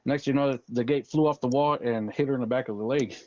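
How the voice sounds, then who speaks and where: A man on a casual podcast recounts strange happenings at home. He tells it plainly and matter-of-factly. His voice sits at a middle pitch. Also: fast, dark tone, highs cut off. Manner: plain, matter-of-fact telling. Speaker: guest relating home hauntings.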